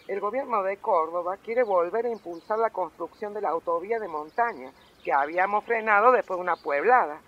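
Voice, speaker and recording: An actress playing an earnest, determined activist in a radio drama, with an Argentine accent and emphatic delivery. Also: female voice, mid-range voice, fairly quick, hardly any pauses, lo-fi sound, lightly acted. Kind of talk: radio theatre acting.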